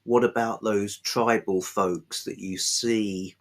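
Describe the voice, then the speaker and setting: Low-voiced, husky man chatting about books. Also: fairly even intonation, takes it slowly. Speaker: book reviewer, video host. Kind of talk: unscripted book talk to camera.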